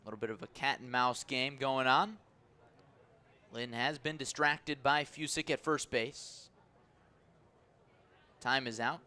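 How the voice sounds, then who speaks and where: A man calling baseball play-by-play on the radio, measured between pitches and swelling into excited calls on plays. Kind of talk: live radio sports commentary.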